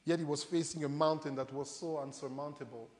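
A man delivers a sermon in a notably high voice. He talks conversationally to his listeners, posing questions and retelling scripture as stories. Long reverb from a large hall trails behind his words. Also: steady tone, brisk, darkish tone. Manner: conversational, rhetorical questions, addresses congregation directly. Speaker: preacher. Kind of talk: animated sermon with acted-out Bible stories.

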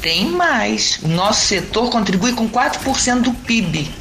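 A woman's voice: a little high, expressive, a little slow, creaky, fairly bright tone, slight background noise, exaggerated voice. A woman playing a spirited, hopeful circus dancer with a Brazilian accent, chatting in an audio drama. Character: spirited, hopeful female circus dancer, outspoken about culture.

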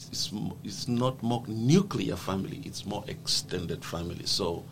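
Gravelly Zambian man telling his story. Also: low-pitched, a little slow. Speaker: guest. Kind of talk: personal life story in a conversation. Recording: noisy background.

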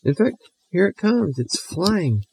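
Guy putting on a squeaky teasing little girl voice, gleeful, swoops way up high. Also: big pitch swings, slow pace, gravelly, a little mellow, a little hiss or hum, full character voice, low-quality recording. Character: bratty teasing young girl.

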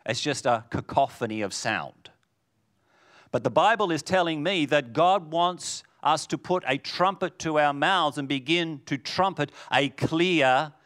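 Booming pastor preaching slowly. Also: male voice, a bit bright. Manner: booming, preaching with rhetorical questions and repetition. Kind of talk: sermon.

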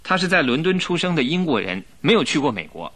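A man's voice: a little high, very animated, fast talker, fairly bright tone. A man acting an earnest police inspector in a radio drama, explaining politely with some hesitation.

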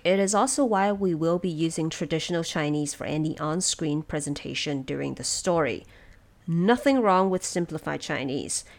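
A woman hosting production notes, explaining things in a measured, semi-scripted and lightly wry way. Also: deep voice, creaky. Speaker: host of production notes for an audio drama.

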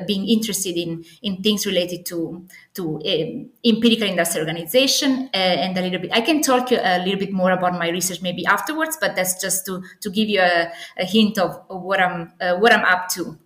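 A woman's voice: on the low side, moderate pace, a bit bright. An Italian-accented woman from Naples answering as an academic interview guest, enthusiastic but often hesitating and correcting herself. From Naples, Italy. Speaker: podcast guest, assistant professor. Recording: echoey, roomy sound.

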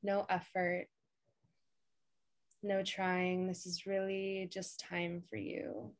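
Female meditation guide: lowish register, very slow pacing, calm instruction, close dry sound. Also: no room sound. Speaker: podcast co-host and meditation guide.

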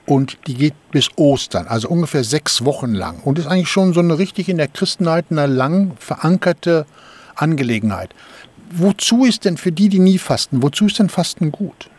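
A man conducts a conversational radio interview, asking questions and now and then trailing off mid-thought. His voice sits a little high for a man. His intonation swings widely. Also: animated, normal speed, a little mellow, breathy edge, no room sound. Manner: conversational, probing questions with hesitations.

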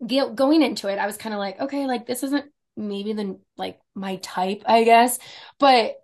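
A woman in her thirties with a fairly deep voice, telling a dating story in casual chat and acting out the quotes. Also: moderate pace. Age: 30s. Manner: animated storyteller, acts out quotes, laughs often. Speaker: podcast guest, esthetician.

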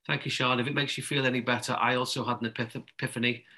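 A man's voice: mid-range voice, level tone, very fast, bright, forceful tone. A man hosting a webinar panel, friendly and joking, with loosely phrased questions. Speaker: webinar host. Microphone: video call.

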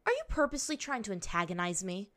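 A woman's voice: medium pitch, sing-song, fast talker, fairly bright tone. Lady reader doing a bitter young waterbender girl, snappy and fierce, story narration style. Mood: scornful. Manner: snapping, snarling delivery.

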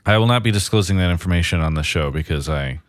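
A man's voice: sits low, fast talker, no room sound. A man co-hosting a podcast from his apartment, chatting casually with upbeat, joking banter. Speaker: podcast co-host.

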